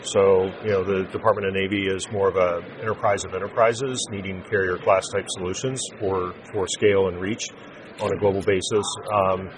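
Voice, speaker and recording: A man giving measured, unscripted corporate explanations as an interview guest. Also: low-pitched, steady tone, noisy background. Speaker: interview guest, telecom sales executive. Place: busy naval trade show event.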